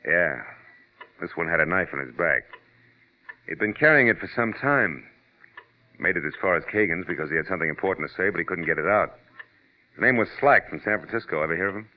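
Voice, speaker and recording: Hardboiled american private eye, low voice, sardonic, old muffled radio show with music. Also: male voice, lively intonation, fairly quick, pauses often. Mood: dry, pressing. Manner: clipped, sardonic, tough-talking. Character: hardboiled, wisecracking male private detective. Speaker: lead actor and narrator. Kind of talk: 1940s radio noir acting and narration.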